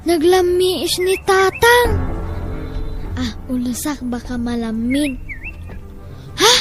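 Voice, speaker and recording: A woman plays an innocent, dutiful young child with a high voice and an Ilocano Filipino accent. The delivery is slow and earnest, in radio drama style, over faint music. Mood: puzzled, anxious. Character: dutiful, innocent young grandchild looking after sick parents.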